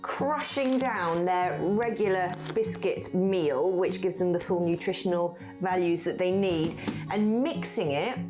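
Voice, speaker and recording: A woman's voice: fairly low pitch, measured pace. Middle-aged woman host, chatty and upbeat, faint music under her voice. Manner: chatty, upbeat, laughs easily. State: tired after a vaccination. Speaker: show host and ferret keeper. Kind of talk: casual presenting to camera.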